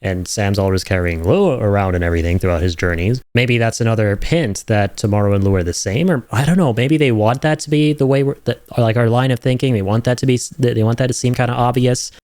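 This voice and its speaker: A man giving casual reaction commentary, rambling and thinking aloud with some excitement. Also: lowish voice, fast, few pauses, no echo, studio quality. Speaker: video creator reacting to game trailers.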